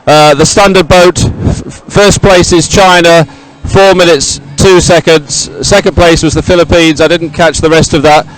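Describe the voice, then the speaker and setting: A man doing unscripted live sports reporting, halting often as he searches for words. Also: fairly high pitch, fairly even intonation, low-quality recording. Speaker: presenter reporting from the event.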